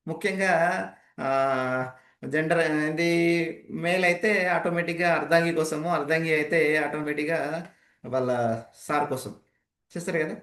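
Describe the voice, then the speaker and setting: A man's voice: fairly high pitch, fairly even intonation, unhurried, pauses often, a bit bright. A man leads an interactive training session as a speaking coach, explaining emphatically and putting rhetorical questions to participants. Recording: echoey, roomy sound.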